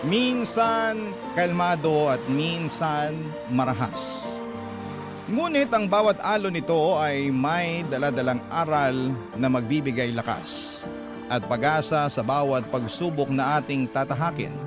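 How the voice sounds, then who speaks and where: A man delivering scripted dramatic narration, reading out a personal letter in slow, deliberate phrases. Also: on the high side, expressive. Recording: music behind the voice. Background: loud music.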